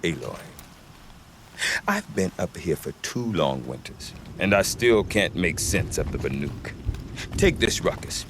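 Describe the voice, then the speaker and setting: A man's voice: low voice, animated, unhurried, a little hiss or hum. An actor playing a cynical male merchant who grumbles sardonically about the locals in a game cutscene. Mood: sardonic. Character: cynical male trader, scornful of local folk.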